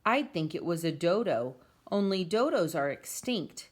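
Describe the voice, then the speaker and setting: A woman voicing a curious young newspaperman in a storybook, brisk and matter-of-fact. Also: lowish voice, sing-song. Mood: knowing.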